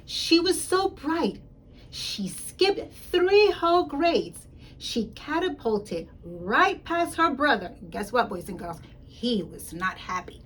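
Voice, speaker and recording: A woman reading a children's story aloud in an expressive, emphatic way, speaking directly to young listeners as a school principal would. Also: high-pitched, takes it slowly, breathy edge, rough recording.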